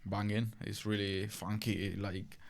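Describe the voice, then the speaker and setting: A man hosting a podcast, talking in a relaxed, conversational way with his guest. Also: lowish voice, unhurried, creaky, over a noisy crowd. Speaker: podcast host. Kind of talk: casual interview chat.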